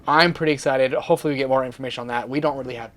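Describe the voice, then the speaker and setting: A man's voice: mid-range voice, fairly even intonation, rapid-fire, fairly bright tone. A man co-hosting a casual chat about movies and games. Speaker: co-host.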